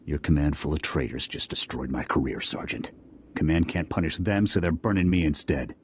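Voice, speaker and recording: Very deep, bitter officer. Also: male voice, fairly quick, a little hiss or hum. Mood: bitter anger. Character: bitter gruff male military officer, resentful. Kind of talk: video game cutscene acting.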